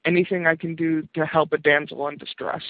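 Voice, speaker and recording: A man's voice: a little high, little pitch movement, a little fast, no room sound, close to an everyday voice. Man acting charming male stranger, story character, flirtatious, playful, thin phone-like audio. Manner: smooth-talking, playful. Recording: thin, phone-like narrowband sound.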